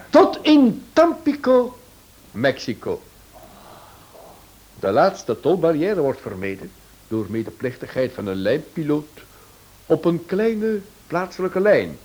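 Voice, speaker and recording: An actor playing a shrewd detective in a radio serial, explaining step by step in a theatrical, rhetorical way. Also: male voice, a little high, a little mellow, heavily acted, rough recording. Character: shrewd male detective theatrically unmasking a smuggling ring.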